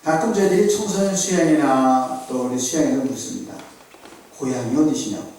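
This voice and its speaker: Male pastor, middle-aged, conversational sermon; mellow tone, distant and boomy in a reverberant church. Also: a little fast, slight background noise. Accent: Seoul Korean. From South Korea. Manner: conversational preaching with anecdotes and rhetorical questions. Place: church sanctuary. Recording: very echoey hall, voice from a distance.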